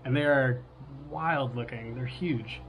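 A man's voice: mid-range voice, slow pace, background noise. A man in his forties hosting a casual cooking vlog on an outdoor porch, upbeat and laughing often. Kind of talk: casual chat.

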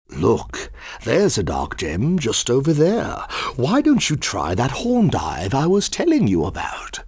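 Old dragon elder for a video game, raspy n slow, pitch swings all over. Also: male voice, dry recording, studio quality, full character voice. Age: older. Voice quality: gravelly, husky. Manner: meandering, expressive, drawn-out delivery. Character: rambling, friendly elderly dragon elder and mentor. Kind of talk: video game character dialogue.

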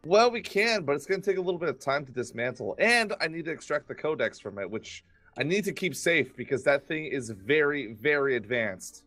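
A man's voice: a little high, animated, fairly quick, a bit bright, no echo, soft background music. A man playing an earnest, protective, nerdy young inventor in a gaming roleplay. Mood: explanatory. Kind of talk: improvised gaming roleplay acting.

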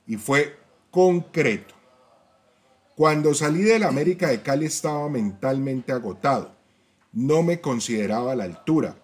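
A man hosts a football fan channel in a casual, direct way. He keeps turning to his listeners and backs every point with numbers. His voice sits at an ordinary middle pitch. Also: expressive, a little slow, a little mellow. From Colombia. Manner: talks to viewers directly, tag questions, stats-heavy.

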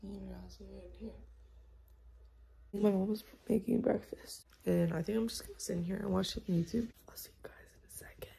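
A teenage girl vlogging at home in the morning, her voice hoarse from yelling the night before. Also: medium pitch, fairly even intonation, brisk, long pauses. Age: teens. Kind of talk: casual vlog chat. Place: bedroom at home. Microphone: phone camera at arm's length.

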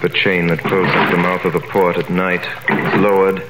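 A man's voice: sits low, little pitch movement, normal speed, lightly acted. An actor in a 1930s radio drama playing a young sailor who narrates his own arrest and cries out in desperation. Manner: narrates in first person, then shouts in panic. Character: young male sailor, wrongly jailed, desperate. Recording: echoey, noisy 1930s radio recording.

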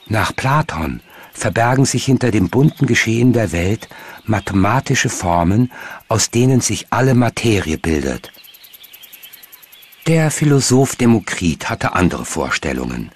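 Fairly low-voiced male documentary narrator. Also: slightly rough. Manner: measured, explanatory.

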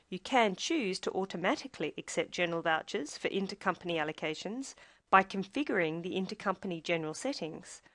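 A woman's voice: lowish voice, a bit bright, no room sound. Woman narrating tutorial, close dry sound. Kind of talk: scripted instructional narration.

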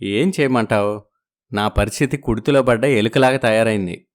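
A man's voice: fairly low pitch, moderate pace, lightly acted. A man playing an evasive young husband. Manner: soothing, evasive. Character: young husband torn between wives, evasive.

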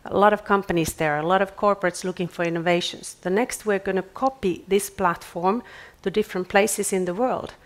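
Woman in her forties, conference stage pitch, measured delivery, clip mic. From Finland. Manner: measured, repeats words at restarts. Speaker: startup accelerator CEO.

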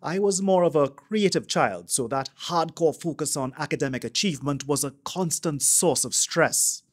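A man, presenting a scripted piece to camera in a polished, measured broadcaster's delivery on a lapel mic in a home office. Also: fairly high pitch. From Trinidad and Tobago. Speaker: YouTube host, marketing coach. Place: small home office, indoors.

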